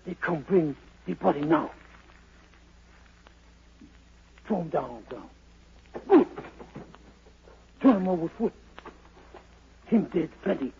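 Creaky, grim tracker, broken English. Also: male voice, a little high, lively intonation, measured pace, long pauses, full character voice. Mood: grim satisfaction. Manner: clipped broken pidgin English. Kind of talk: 1940s radio drama acting. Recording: muffled, noisy old radio recording.

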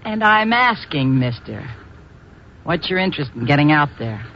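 A woman's voice: low voice, very animated, moderate pace. An actress playing a brusque, no-nonsense woman who owns a ranch in a 1950s radio drama. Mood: curt. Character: tough, curt woman ranch owner. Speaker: radio actor. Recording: muffled, hissy old radio recording.

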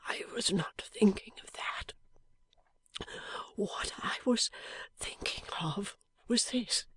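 A woman voicing a badly wounded young husband who speaks in a faint, halting, affectionate whisper in an audiobook. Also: medium pitch, husky, no room sound, voice pushed up. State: weak from injury. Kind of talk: audiobook character voice.

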